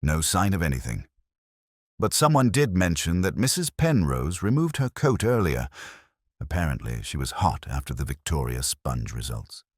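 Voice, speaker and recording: A man's voice: rumbling low, sing-song, normal speed, dry recording, clean studio sound, heavily acted. A man voicing a dutiful, plain-spoken police sergeant in an audio mystery, earnest and businesslike.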